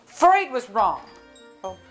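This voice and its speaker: An actress plays a blunt, irritable middle-aged wife who works as a therapist, in a staged play reading. Her fairly high voice swings widely as she leans hard on sardonic points. There is some music in the background. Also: sing-song, slow pace. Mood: indignant. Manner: emphatic, sardonic.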